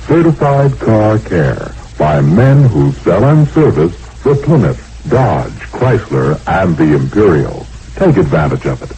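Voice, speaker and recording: Mellow, earnest radio-ad pitchman. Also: sing-song, measured pace, few pauses, poor audio. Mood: polished selling. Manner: polished, earnest straight delivery. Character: male car-service customer turned commercial pitchman. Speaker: commercial straight man and announcer. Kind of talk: 1960s radio advertisement. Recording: vintage radio recording, faint noise.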